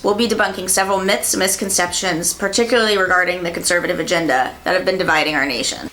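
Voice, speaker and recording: Bright-voiced young woman hosting. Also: mid-range voice, slight background noise. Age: young adult. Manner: upbeat, reads a prepared script. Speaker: show host. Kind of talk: scripted show introduction.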